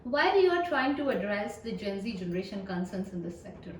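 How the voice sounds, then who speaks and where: A woman hosting an interview, friendly and quick with affirmations. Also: medium pitch, big pitch swings, roomy sound, distant mic, a little hiss or hum. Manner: friendly, frequent backchannel affirmations. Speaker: host, interviewer.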